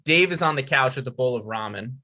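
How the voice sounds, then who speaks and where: A man's voice: mid-range voice, animated, fairly quick, sharp tone. A man reading out scripted stage directions as narrator at a comedy table read.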